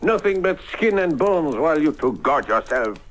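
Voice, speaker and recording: Petulant, high-voiced starving painter. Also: male voice, sing-song, normal speed, a bit bright. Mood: whining, indignant. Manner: whining, melodramatic. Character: petulant, famished male painter, whining and melodramatic. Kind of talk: old-time radio comedy acting.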